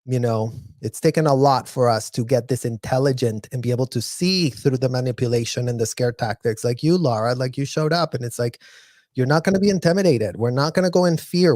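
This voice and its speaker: A man's voice: mid-range voice, darkish tone, no room sound, clean studio sound. A man hosting a livestream discussion, speaking in an adamant, impassioned way. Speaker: channel host.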